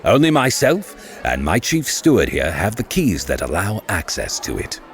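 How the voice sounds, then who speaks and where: A boastful, suavely courteous nobleman in a fantasy audio drama. His voice is deep and gravelly, swinging widely in pitch as he holds forth with formal self-importance. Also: wildly swooping pitch. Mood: smug, guarded. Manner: pompous, formal, measured. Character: haughty wealthy lord, boastful and suavely courteous.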